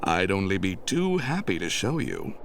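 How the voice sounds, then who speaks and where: An actor playing a smooth-talking, flirtatious spaceship lieutenant of about thirty in a radio drama, cajoling and glib. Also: male voice, deep voice, big pitch swings, normal speed, slight background noise, heavily acted. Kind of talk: old-time radio sci-fi drama acting.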